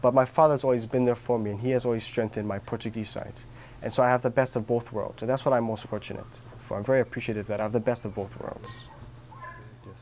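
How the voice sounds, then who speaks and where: A man's voice: medium pitch, steady tone, fast, darkish tone, a little hiss or hum. An earnest young man from Hawaii talks sincerely in an interview, his thoughts broken by fillers and restarts. He is recorded outdoors on a garden patio, with a faint ambience behind his voice. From Kauai, Hawaii. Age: young adult. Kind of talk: reflective personal interview.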